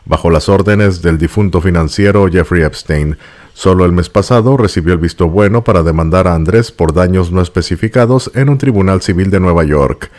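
Deep-voiced man narrating tabloid news. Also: a little mellow. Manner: jumps up in pitch on aside phrases, uses cute diminutives.

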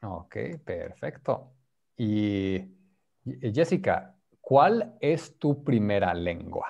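A man's voice: fairly low pitch, big pitch swings, extremely slow, vocal fry, warm tone, highs cut off. A man teaching an online beginner Spanish class over a video call, patiently prompting students and mixing Spanish with English. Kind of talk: online group language class.

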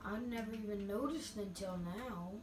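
A woman giving casual, halting gameplay commentary, her voice a little scratchy from getting over being sick. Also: mid-range voice. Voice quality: slightly scratchy. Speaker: gamer narrating gameplay. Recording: distant roomy mic, music behind.

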